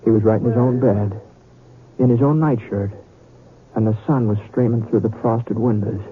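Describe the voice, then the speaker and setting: An actor playing a homespun western storyteller, narrating a radio drama in a halting, conversational way. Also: male voice, fairly low pitch, steady tone, close to an everyday voice. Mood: folksy, wry. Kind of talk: old-time radio storytelling. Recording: tinny radio sound with crowd noise.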